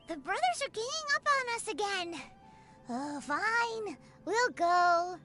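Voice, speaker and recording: Brief: a tiny excitable female game companion, very high and sing-song, blunt. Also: exaggerated voice. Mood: chatty. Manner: bubbly, blunt, quick to complain. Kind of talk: game cutscene voice acting. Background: game music.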